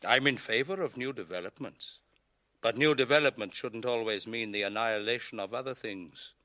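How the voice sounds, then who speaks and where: A man's voice: medium pitch, normal speed, bright, forceful tone, close to an everyday voice. An actor playing a proud, solemn village headman in a radio drama, declaiming and challenging with rhetorical questions. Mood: firm, rallying. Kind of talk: 1980 radio drama acting.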